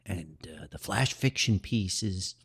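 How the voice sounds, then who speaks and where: Animated man, folksy podcast host. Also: low voice, takes it slowly, husky. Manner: effusive, exclamatory, folksy, digressive. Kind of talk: casual rambling podcast monologue.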